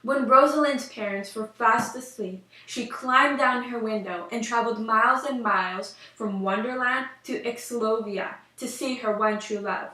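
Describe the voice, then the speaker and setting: A woman's voice: a little high, moderate pace, few pauses, sharp tone. A young woman reading a scripted fairy tale aloud as storyteller. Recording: roomy echo, distant microphone.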